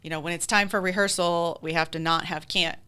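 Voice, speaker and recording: Woman w a low bright voice, chatty interview guest explaining stuff at length. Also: fairly even intonation, fairly quick, smooth. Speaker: community theater group president, interview guest. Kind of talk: conversational interview.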